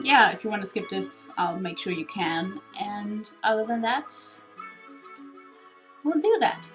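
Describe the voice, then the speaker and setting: A woman's voice: medium pitch, poor audio. A young woman hosting a let's play, giving casual, rambling game commentary with some hesitation. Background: faint game music.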